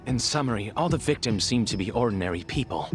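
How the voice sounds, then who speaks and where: A man's voice: mid-range voice, animated, fast, music in the background, close to an everyday voice. An actor playing a polite, studious male investigator's assistant in a game cutscene, wording things formally and precisely. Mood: polite, earnest. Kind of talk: dramatic game cutscene dubbing.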